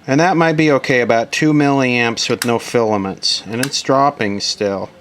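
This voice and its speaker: A man's voice: mid-range voice, normal speed, a bit bright, a little hiss or hum. A man giving a casual, matter-of-fact running commentary as he does hands-on repair work, thinking aloud. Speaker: electronics repair video host.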